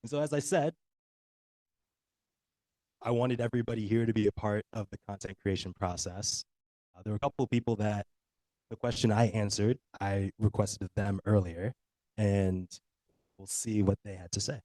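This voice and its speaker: A man's voice: on the low side, fairly even intonation, moderate pace, pauses often, mellow, no echo, poor audio. An American man giving a presentation as an athlete at a workshop, speaking to an audience. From United States. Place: live talk at a workshop.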